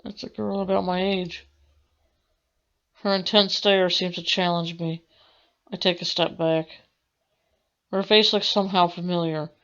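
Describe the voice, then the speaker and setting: A woman reading a visual novel aloud as a let's play, with a halting delivery that corrects itself. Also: on the low side, fairly even intonation, fairly bright tone. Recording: dull lo-fi mic with music behind. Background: game music.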